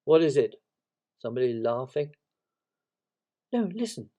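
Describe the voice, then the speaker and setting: A man voicing a kind, excitable young boy in a storybook, with an eager, urgent manner. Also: mid-range voice, a little slow. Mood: concerned, eager. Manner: bright-eyed, urgent, excited.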